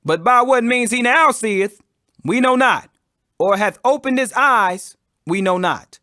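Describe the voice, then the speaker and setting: A man's voice: very high voice, expressive, measured pace, bright, forceful tone, no room sound, clean studio sound, voice pushed up, exaggerated voice. A man voicing the cautious, fearful parents of a grown son, emphatic and declamatory, in a scripture reading. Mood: guarded.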